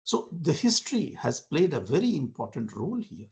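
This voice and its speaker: A man's voice: on the high side, sing-song, brisk, a little mellow. A man from India, giving an academic lecture over a video call, explaining with rhetorical questions and frequent "uh" fillers. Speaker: university lecturer.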